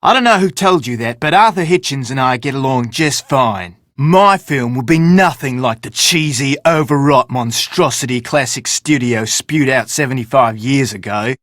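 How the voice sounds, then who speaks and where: An actor playing a self-important, theatrical film director in an adventure game, speaking pompously with dramatic emphasis. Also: male voice, medium pitch, lively intonation, normal speed, hardly any pauses, fairly bright tone, no room sound, studio quality, natural voice. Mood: boastful. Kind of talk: scripted game character dialogue.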